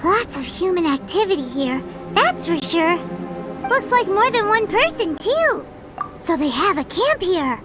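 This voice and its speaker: Tiny cheeky fairy, squeaky, extremely high, wildly animated, excitable, video game. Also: female voice, unhurried, exaggerated voice. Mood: cheeky, chatty. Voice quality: squeaky, childlike. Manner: exclamatory, refers to self by name. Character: tiny childlike female fairy companion, chirpy, excitable, cheeky. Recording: background music under the voice.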